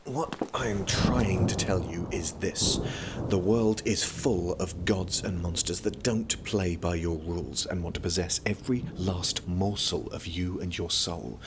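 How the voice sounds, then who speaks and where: A low-voiced, brooding prince, acted by a man in a fantasy audio drama. He is wary and dry-witted, speaking in grave, deadpan terms. There is faint noise behind him. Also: unhurried.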